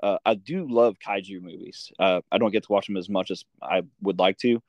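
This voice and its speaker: A man with a fairly low voice hosts a casual interview in a very dry acoustic. He talks informally and haltingly, thinking aloud as he goes. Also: fairly even intonation, a little mellow, no echo, dull audio. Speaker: interviewer and host.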